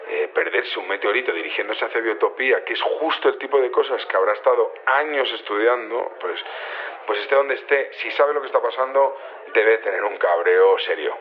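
An actor playing a nonchalant security chief on the telephone in a radio drama, talking colloquially and a little hesitantly. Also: male voice, on the low side. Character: casual, unbothered male security chief phoning a report. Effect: telephone filter. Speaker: head of security. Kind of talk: phone interview in a radio drama. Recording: thin, narrow phone line.

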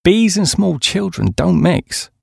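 A man's voice: sits high, big pitch swings, slow, vocal fry, slightly rough, dry recording, studio quality, voice pushed up, heavily acted. A man voicing a chatty younger greengrocer, blunt and exclamatory, in audiobook dialogue. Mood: matter-of-fact.

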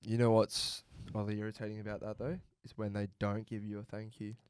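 Laid-back young bloke, lowish voice. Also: male voice, completely flat delivery, brisk, darkish tone, clean tone. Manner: laid-back, swearing, laughs at his own jokes. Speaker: podcast co-host. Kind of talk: casual chat.

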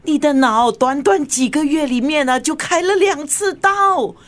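A feisty, high-voiced mother. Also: measured pace. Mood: nagging, fussing. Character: feisty, bossy, protective mother of a grown daughter. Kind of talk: Chinese radio drama acting.

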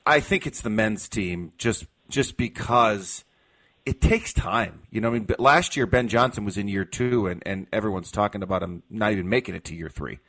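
Male American sports commentator, slightly deep register, loose and informal, reasons out opinions as he goes. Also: lively intonation, moderate pace, fairly bright tone. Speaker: sports show panelist. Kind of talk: casual sports talk.

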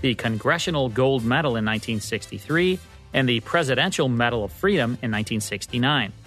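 A man's voice: mid-range voice, lively intonation, fairly quick, a bit bright, faint music behind. A man co-hosting a radio program, reading scripted history narration in a presenter's style. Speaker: radio co-host.

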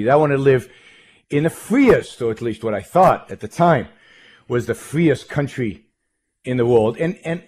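A man's voice: mid-range voice, a little mellow. A man from Israel with an Israeli accent, speaking as a radio host about himself in an earnest monologue that repeats words as he builds his points. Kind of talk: personal introduction monologue.

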